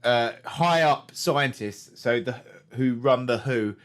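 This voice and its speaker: A man's voice: medium pitch, slow, fairly bright tone. A man co-hosting a podcast, joking and chatty in casual talk. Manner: joking, does mock rants. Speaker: podcast co-host. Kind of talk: casual chat.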